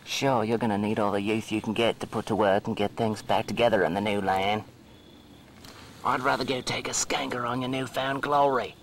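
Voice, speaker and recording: A man's voice: on the low side, steady tone, normal speed, lightly acted. A man playing a bitter, defiant young outcast in an amateur audio drama, folksy and confrontational. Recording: faintly noisy old cassette recording.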